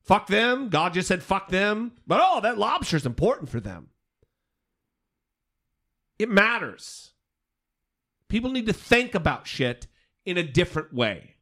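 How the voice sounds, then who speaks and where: A man's voice: high voice, very animated, a little slow, pauses often, sharp tone. A man co-hosting a talk podcast, speaking casually and emphatically, repeating phrases and swearing freely. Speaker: podcast co-host. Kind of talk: casual talk, reacting to listener voicemails.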